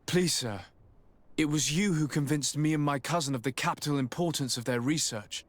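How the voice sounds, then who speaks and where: Measured, courteous nobleman played by a man. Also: fairly high pitch, lightly acted. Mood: composed. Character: courteous, discreet male noble envoy in a fantasy colony. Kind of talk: scripted game cutscene acting.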